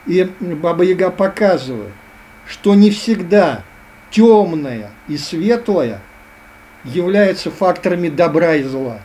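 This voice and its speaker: Earnest lecturing man, mellow voice. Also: sits high, expressive, slow pace, slight background noise. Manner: earnest, lecturing, repetitive phrasing. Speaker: guest. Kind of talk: philosophical interview talk.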